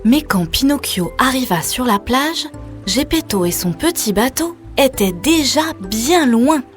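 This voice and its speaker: A woman's voice: high voice, lively intonation, a little slow. Woman narrating a children's story over music. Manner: addresses the child listener with questions.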